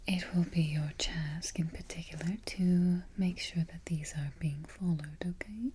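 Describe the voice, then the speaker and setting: A woman playing a haughty evil queen in a soft-spoken ASMR roleplay, breathy and whispery, giving petty orders with imperious, mock-sweet deliberation. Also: lowish voice, fairly even intonation, unhurried, a little hiss or hum, natural voice. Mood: calm, commanding. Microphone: close ASMR microphone.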